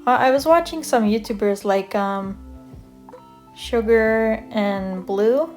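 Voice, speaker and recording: A woman's voice: fairly high pitch, slow pace. A young woman from Canada chatting casually as she draws, rambling with lots of fillers and self-corrections. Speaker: art vlogger. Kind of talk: casual chat over a draw-with-me video. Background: soft guitar music.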